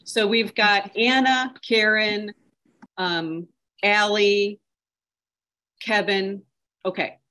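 A woman's voice: medium pitch, fairly even intonation, very slow, long pauses, sharp tone, low-quality recording. A woman moderating a panel on a video call, prompting speakers with encouraging questions.

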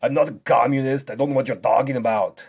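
Male actor as boastful, dim strongman for children's comedy lesson; lowish, husky, sulky and dismissive. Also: steady tone, moderate pace. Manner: pompous, loud, sulky and dismissive. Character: vain, boastful, dim-witted strongman who belittles tiny kids. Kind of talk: comic kids' maths lesson skit.

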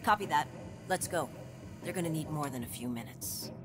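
Tough woman cop, brisk and sardonic, very varied pitch, music underneath. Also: animated. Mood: brisk, businesslike. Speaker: police detective. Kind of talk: cinematic crime game acting.

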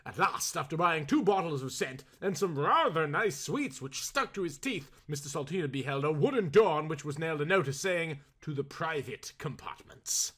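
A man reading a storybook aloud in a theatrical, expressive way. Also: a little high, fairly quick, hardly any pauses, husky. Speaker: audiobook reader. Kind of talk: dramatized storybook narration.

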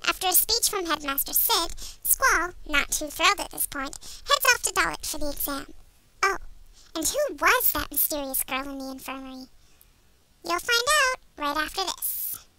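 A woman with an extremely high, childlike voice reads in a playful amateur narration. Her tone is piercingly bright, with faint noise behind her. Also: animated, normal speed, low-quality recording. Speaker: amateur fandub voice actor. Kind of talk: playful voice test and recap narration.

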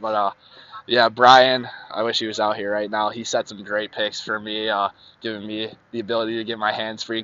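A young man, a high school lacrosse player, giving an easygoing, grateful post-game interview outdoors on a field at night, holding a handheld mic. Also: a bit bright, lo-fi sound. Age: young adult. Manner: easygoing, grateful, laughs often.

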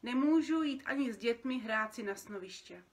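A woman's voice: on the high side, expressive, lightly acted. A woman voicing a nervous, overworked feathered dream-being in a storybook, agitated and plaintive. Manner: agitated, complaining, stammering when shocked. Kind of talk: storybook character reading.

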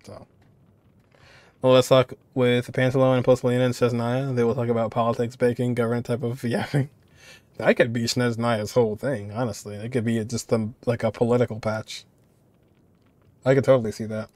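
A young man hosts a casual game reaction, thinking aloud in an informal, musing way. His voice is mid-pitched and recorded dry, with no room sound. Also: level tone, brisk, darkish tone, no room sound. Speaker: reaction video host.

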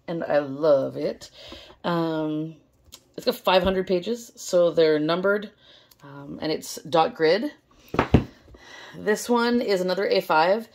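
Hoarse woman chatting through a lost voice. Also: fairly low pitch. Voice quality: gravelly, strained. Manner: chatty, many fillers, excited. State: hoarse, losing her voice. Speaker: stationery vlogger. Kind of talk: casual unboxing vlog. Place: indoors at a table, filming overhead. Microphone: camera held close over the table.

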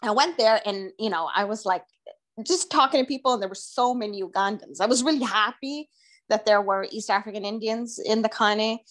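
A woman's voice: medium pitch, fairly quick, fairly bright tone. A middle-aged woman from Tanzania presenting her research project to a group over a video call from her home kitchen, conversational, with many fillers and restarts.

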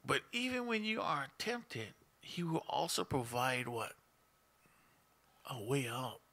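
Gravelly, bright Hawaiian-tinged pastor. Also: male voice, mid-range voice, expressive, takes it slowly. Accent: Hawaiian Pidgin-tinged English. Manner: conversational preaching, asks the congregation questions. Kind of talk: sermon. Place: small church room with instruments. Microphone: stand mic in front of him, seated.

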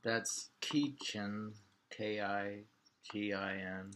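A man in his sixties with an American Midland accent, chatting casually and reading poetry aloud in a halting, musing way. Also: on the low side. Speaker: poetry reader and host. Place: small home room by a window, indoors. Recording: clearly noisy home webcam recording with clicks.

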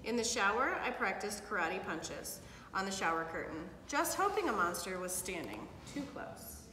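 A middle-aged woman reading a picture book aloud to children with expressive, animated storytelling, recorded in a living room by a camera a few feet away. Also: medium pitch, normal speed. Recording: echoey hard-walled room, some background noise.

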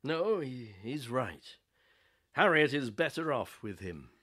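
A man's voice: medium pitch, big pitch swings, takes it slowly, bright, forceful tone, heavily acted. A man in a radio play acting a jealous, fatalistic and unhinged miner with an intense, obsessive manner. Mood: brooding, fatalistic.

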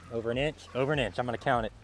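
A man's voice: mid-range voice, vocal fry. A man talking casually as he works outdoors, hosting a vlog, picked up by a camera mic. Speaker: pond rescue vlog host. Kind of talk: casual chat while working. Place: outdoors at a garden pond. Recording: noisy outdoor camera audio.

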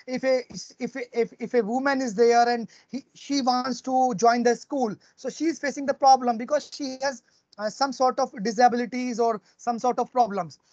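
A slightly dull, dry video-call recording of a middle-aged man with an Indian accent. He speaks as a guest lecturer answering questions. His delivery is halting, and he often repeats himself. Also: very high-pitched, fairly even intonation, darkish tone, dry recording. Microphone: earphone mic on video call.

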